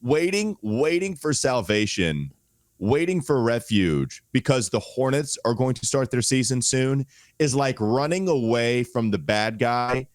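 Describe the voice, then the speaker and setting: A man's voice: mid-range voice, lively intonation, a little slow, dry recording, studio quality. A male sports podcast co-host, under the weather and holding his voice back, talking with wry, exaggerated gloom. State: under the weather, voice strained and quiet. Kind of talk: casual sports talk.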